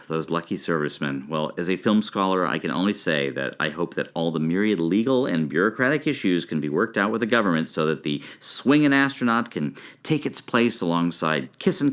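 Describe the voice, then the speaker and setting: A male film scholar in a mock documentary. His voice is low, and he talks with fussy, smitten earnestness about his pet subject. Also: a bit bright.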